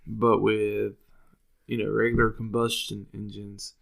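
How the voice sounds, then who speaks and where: A man co-hosting a podcast in casual comedic banter, in a halting way that often repeats words. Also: lowish voice, flat delivery, gravelly, fairly bright tone, poor audio. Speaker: podcast co-host.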